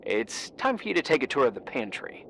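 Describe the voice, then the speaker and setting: A man's voice: mid-range voice, very animated, fairly quick, gravelly. Awkward, hesitant man, noisy background. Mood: helpful, joking. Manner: hesitant, rambling, gently joking. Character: awkward, absent-minded, eager-to-help workaholic man on the phone. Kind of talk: naturalistic video game voice acting.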